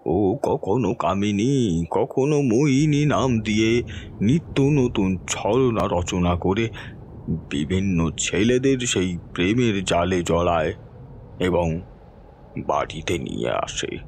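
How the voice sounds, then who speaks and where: A male actor voices a helpless, bedridden old father in a horror audio story. He speaks slowly and low, with haunted, sorrowful anguish, over noticeable background noise. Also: lively intonation, darkish tone. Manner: slow, anguished, dramatic pleading. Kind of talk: audio horror story, dramatic first-person reading.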